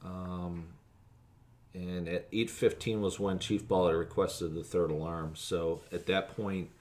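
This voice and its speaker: Very deep-voiced man recounting an incident. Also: level tone, slow pace, a little mellow. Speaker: fire officer being interviewed.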